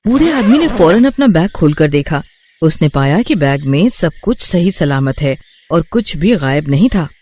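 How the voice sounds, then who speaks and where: A man's voice: fairly high pitch, sing-song, normal speed, a little mellow. A man narrating a moral bedtime story as scripted storybook narration.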